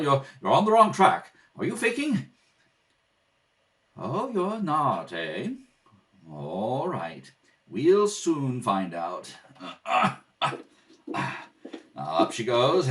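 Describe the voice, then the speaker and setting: Man acting a formal, measured butler, slightly distant. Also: medium pitch, fairly bright tone. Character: polite, evasive young butler Godfrey, turning briskly bossy. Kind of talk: 1930s radio comedy acting. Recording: distant home kitchen-table recording.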